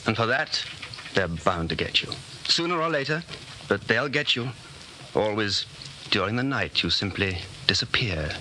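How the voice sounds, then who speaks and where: A man's voice: medium pitch, sharp tone. An actor playing an anxious, secretly rebellious man of about forty in a 1940s radio drama, brooding and urgent. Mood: fatalistic, defiant. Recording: noisy 1940s radio broadcast recording.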